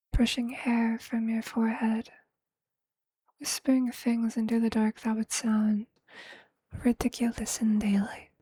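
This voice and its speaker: Casting: possessive, doting androgynous vampire played by a man for ASMR romance; heavy fry, unhurried delivery, seductive half-whisper. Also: very high voice, no echo, exaggerated voice. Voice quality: breathy, creaky, often whispered. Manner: slow, deliberate, hushed, seductive. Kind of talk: ASMR romantic roleplay, intimate scripted monologue.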